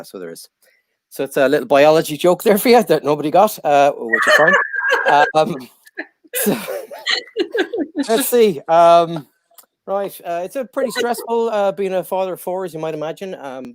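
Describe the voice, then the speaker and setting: A man doing a deadpan stand-up set from home. He halts often and fills his lines with ums and uhs. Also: medium pitch, no room sound. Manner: halting, full of ums and uhs, self-deprecating. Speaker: stand-up comedian. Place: at home, on a live online show.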